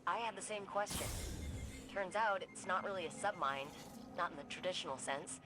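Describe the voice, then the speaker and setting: An actress playing a brisk, dryly wry tech operator guiding a soldier in a video game. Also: female voice, lowish voice, lightly acted. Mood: wry, focused. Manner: brisk, dry, casually wry. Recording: loud game music and vehicle noise behind.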